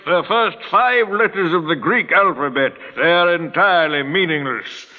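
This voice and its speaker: Reverberant courtroom radio play, formal commanding judge, forceful bright voice, slightly high for a man. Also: normal speed, a little hiss or hum. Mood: commanding, assured. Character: authoritative, calmly reasoning English judge. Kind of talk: 1940s radio drama acting. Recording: reverberant old radio drama recording.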